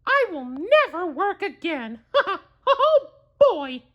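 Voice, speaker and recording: A man voicing an excitable, boyish cartoon mouse apprentice in a squeaky falsetto, exclaiming commands in short bursts. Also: slow pace, muffled recording, heavily acted. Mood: gleeful.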